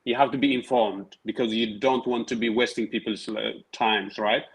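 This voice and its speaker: This is a man from Tigray in his thirties whose first language is Tigrinya. He is a guest in a relaxed interview and answers slowly and thoughtfully, pausing often on "um" and "uh" and restarting his sentences. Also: mid-range voice, gravelly, breathy edge, rough recording. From Tigray, Ethiopia. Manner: measured, hesitant with many fillers and restarts. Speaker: guest, writer and PhD researcher. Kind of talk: casual interview conversation.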